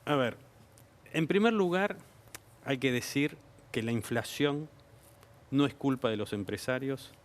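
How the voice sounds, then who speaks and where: Measured Argentine government official. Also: male voice, mid-range voice. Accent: Argentine Spanish. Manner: measured, explanatory, policy talk with figures. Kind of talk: TV interview answers. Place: TV studio.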